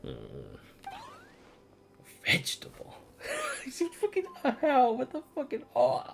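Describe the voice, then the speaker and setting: A game streamer who reacts excitedly and swears freely while playing. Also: high-pitched, slow pace. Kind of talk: reacting to a game and reading dialogue aloud. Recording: game music behind.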